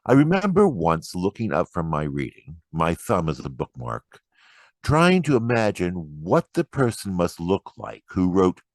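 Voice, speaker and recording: Deep, slow, expressive male poetry reader. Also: no room sound. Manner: expressive, deliberate, acts out quoted remarks. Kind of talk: dramatic poetry reading.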